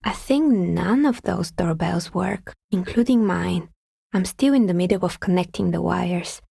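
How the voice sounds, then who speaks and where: A dry world-weary lady dicemaker from a video game, husky n breathy, super close dry sound. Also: lowish voice, measured pace, warm tone, no room sound, exaggerated voice. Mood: wry, resigned. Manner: dry, understated, matter-of-fact. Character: wry, world-weary woman artisan who makes novelty dice. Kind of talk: video game character dialogue.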